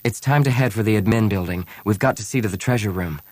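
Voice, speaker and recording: A man's voice: fairly low pitch, quick pace, dry recording, lightly acted. A man voicing a determined rebel woman in an audiobook, speaking in an earnest, insistent way. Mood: urgent.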